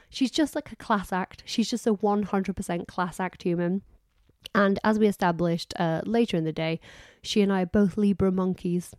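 A woman's voice: on the low side, darkish tone, no echo, clean studio sound. A woman co-hosting a podcast in casual, effusive, rambling chat while she is losing her voice. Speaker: podcast co-host.

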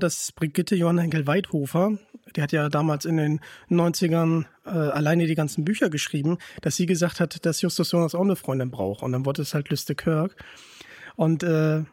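A man's voice: fairly high pitch, steady tone, moderate pace, darkish tone, no echo, studio quality. A man hosting a podcast, chatting casually as he interviews a guest. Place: kitchen.

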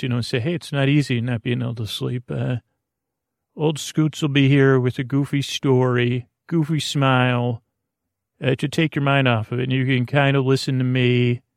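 A man hosting a bedtime podcast, rambling in a halting, meandering way with false starts and tangents, in a gravelly voice. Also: medium pitch, dry recording, clean studio sound. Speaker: podcast host.

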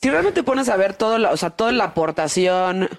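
A woman's voice: mid-range voice, lively intonation, fairly quick. A woman with a Mexican accent hosting a casual podcast conversation, talking in a candid, blunt and playful way, full of street slang. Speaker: podcast host. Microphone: podcast studio mic.

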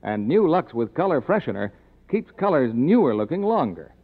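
A man's voice: on the high side, very animated, moderate pace. A man with an American accent announcing scripted advertisements and sign-offs on 1950s radio. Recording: dull, lo-fi 1950s radio broadcast.

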